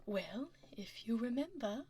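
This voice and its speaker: Breathy, commanding dragon lady boss played by a woman. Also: a little high, animated. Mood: teasing, probing. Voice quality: soft, breathy, whispery. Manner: polished, slow, politely menacing. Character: powerful dragon lady executive, poised and condescending. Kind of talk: ASMR roleplay, soft half-whispered delivery. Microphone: close binaural ear microphone.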